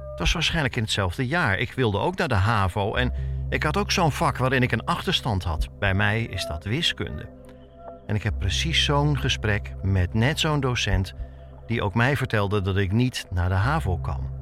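A man's voice: fairly low pitch, expressive, moderate pace, a bit bright, faint music behind. A man in his fifties narrating a personal podcast from a script, as its host and reporter. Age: 50s.

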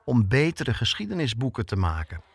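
A man with a mid-pitched voice narrates as a reporter. He delivers prepared documentary narration. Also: big pitch swings, brisk, gravelly, breathy edge, no echo. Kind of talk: scripted narration.

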